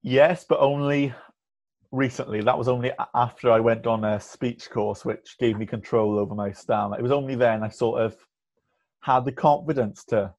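A man's voice: medium pitch, moderate pace, darkish tone. A young man in his twenties, a podcast guest speaking candidly with a stammer, blocking on and repeating sounds. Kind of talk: candid conversational interview. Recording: muffled lo-fi audio.

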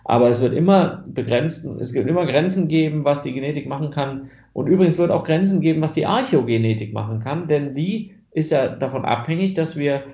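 A man's voice: on the high side, lively intonation, a little fast, few pauses, warm tone, echoey room, muffled recording. A German man answering interview questions about genetics research, thoughtful, with many fillers and restarts.

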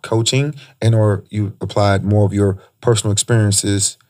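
A man's voice: lowish voice, fairly even intonation, moderate pace, darkish tone. A man hosting a casual podcast interview, teasing his guest and using loose slang. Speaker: podcast host.

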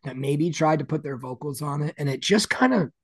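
A man with a deep, gravelly and raspy voice, talking loosely as a guest. His speech rambles, full of "like" and "you know". The sound is close and dry, with no room around it. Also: animated, brisk, dry recording. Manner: rambling, many "like" fillers. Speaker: guest musician. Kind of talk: casual interview chat.

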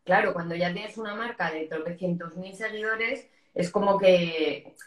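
A woman's voice: on the low side, moderate pace. A woman from hosting a casual live interview, talking in an informal, explanatory way. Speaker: host of a live interview show. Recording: echoey room sound.

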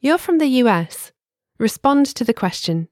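A woman narrating a scripted language lesson, giving clear, measured instructions. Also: fairly high pitch, big pitch swings, darkish tone, no room sound, clean studio sound.

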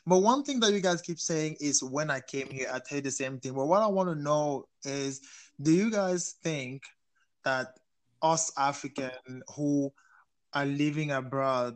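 A young man from Cameroon leads a relaxed group talk in a fairly high voice. He is animated, laughs easily and keeps turning questions over to his guests. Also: normal speed. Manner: animated, laughs often, asks the others questions. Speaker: host. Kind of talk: casual panel chat.

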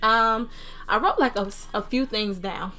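Animated young American woman, bright forceful voice. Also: fairly high pitch, measured pace. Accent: American, New Jersey mixed with Southern. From New Jersey, US. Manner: animated, informal, slangy. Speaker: podcast host. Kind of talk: casual solo podcast chat. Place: own room at home.

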